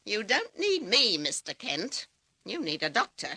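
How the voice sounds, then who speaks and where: An actress playing a gruff, indignant older farm woman in an old-time radio drama, brusque and scornful. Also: mid-range voice, huge pitch swings, measured pace, bright, forceful tone, dry recording, exaggerated voice. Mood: defensive, scornful.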